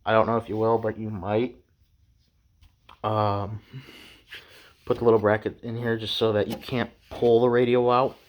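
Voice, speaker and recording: A man's voice: fairly low pitch, level tone, normal speed, pauses often, dull audio. A man giving a casual, unscripted hands-on DIY tutorial in a laid-back, folksy way. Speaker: DIY how-to presenter.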